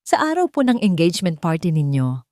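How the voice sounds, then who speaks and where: A woman's voice: on the low side, sing-song, brisk, a little mellow, no room sound, studio quality. Woman voicing a respectful, resolute young housemaid. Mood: determined. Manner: polite, firm, earnest.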